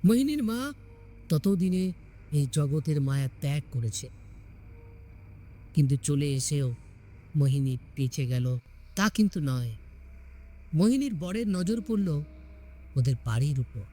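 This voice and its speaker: A man's voice: fairly high pitch, animated, a little slow, pauses often, dark tone. A man narrating a scripted horror story in a dramatic storytelling style.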